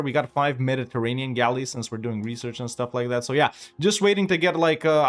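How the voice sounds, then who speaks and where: Young male gaming commentator: easygoing, chatty, rambling, faint music underneath. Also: mid-range voice. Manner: relaxed, chatty, thinks aloud with many fillers; lively and upbeat when asking viewers to like and subscribe. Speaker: gaming YouTuber. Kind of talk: casual gameplay commentary.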